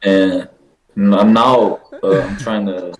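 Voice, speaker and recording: A man's voice: fairly low pitch, slow, crowd noise. A man in his twenties from Belgium, a football player guest, talking casually with many fillers. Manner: relaxed, lots of fillers like "like" and "uh". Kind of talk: casual interview.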